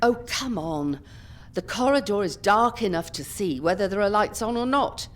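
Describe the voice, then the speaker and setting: An actress playing a brisk, scolding old care-home ringleader in an audio drama. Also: female voice, mid-range voice, expressive, close to an everyday voice. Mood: exasperated.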